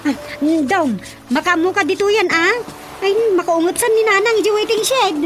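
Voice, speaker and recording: A woman playing a chatty, teasing younger woman in an Ilocano radio drama comedy, with an animated delivery and an Ilocano accent. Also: very high-pitched, normal speed. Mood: flustered, hurried. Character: chatty teasing woman, Rosa, rushing off to her mother. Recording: music bed under the voice.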